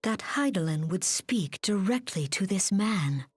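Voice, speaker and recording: An actress playing a serene, wise mystic leader in a fantasy game, speaking formally and gravely with measured, archaic diction. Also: female voice, mid-range voice, lively intonation, dry recording, clean studio sound. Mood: hushed wonder. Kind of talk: scripted fantasy game cutscene acting.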